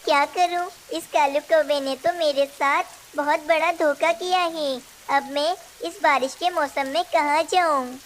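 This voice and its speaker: A little female cartoon bird voiced by a woman talks in a high, earnest voice. She is trusting, plaintive and quick to get upset. A faint hiss runs underneath. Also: fairly even intonation. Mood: distressed. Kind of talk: Hindi children's cartoon dialogue.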